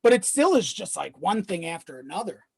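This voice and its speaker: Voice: mid-range voice, very animated, a little fast, creaky, a little mellow, slightly rough, no room sound. Rambling storyteller, dry close sound. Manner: rambling, often chuckling while talking, swears casually. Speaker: podcast guest. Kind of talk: casual chat, personal storytelling.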